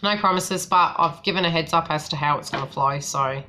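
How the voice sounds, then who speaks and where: A woman from New Zealand with a softened New Zealand accent, chatting casually as a psychic tarot reader on a live stream. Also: sits low, fairly quick, background noise. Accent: New Zealand, softened by Australian.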